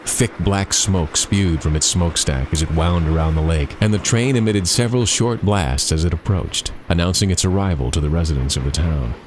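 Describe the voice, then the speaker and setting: A man reading a scary story as a storyteller, with suspenseful, deliberate dramatic phrasing. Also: low-pitched, background noise.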